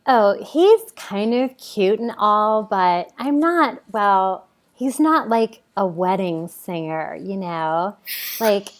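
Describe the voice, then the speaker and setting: Lady doing a lovestruck teen girl for a comedy read, super slow and dreamy, kinda hesitant. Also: mid-range voice, expressive. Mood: smitten, coy. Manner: drawn-out, dreamy, hesitant phrasing. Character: smitten teenage girl, dreamy and forward. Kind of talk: comic table read, celebrity impression.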